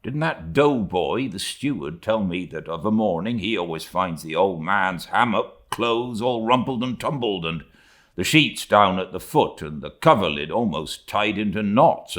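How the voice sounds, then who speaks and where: A man voicing an indignant, bewildered sailor, a second mate in a sea novel, with an excitable, colloquial, muttering way of talking. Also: on the low side, a little slow. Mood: puzzled muttering.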